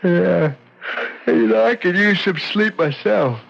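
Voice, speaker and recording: An actor playing a compliant convict sidekick with casual talk, in old-time radio drama style. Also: male voice, high voice, slow, creaky, full character voice. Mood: casual, uneasy. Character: obedient male henchman, escaped army convict. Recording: dull, noisy old radio recording.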